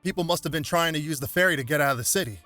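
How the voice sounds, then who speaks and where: Man playing a wary, bright-voiced young superhero. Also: on the high side, racing, no room sound, faint music behind. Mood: urgent concern. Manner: casual, streetwise, wary. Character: cautious, protective young male superhero.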